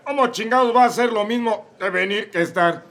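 A man's voice: high voice, a little fast, fairly bright tone. A man with a Mexican accent voicing a drunk, argumentative preacher on trial in a comedy radio drama. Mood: drunken. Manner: slurred, crude and argumentative.

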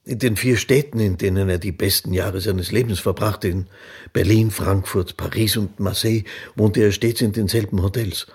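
An actor playing a sober witness who recounts a life story factually in a radio play. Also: male voice, on the low side, steady tone, moderate pace, breathy edge. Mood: sober, recounting.

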